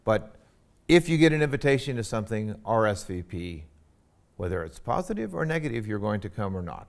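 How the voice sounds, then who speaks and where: A man giving a university lecture on etiquette, with a loud, emphatic voice and frequent fillers. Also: on the low side, sing-song, a little fast, vocal fry.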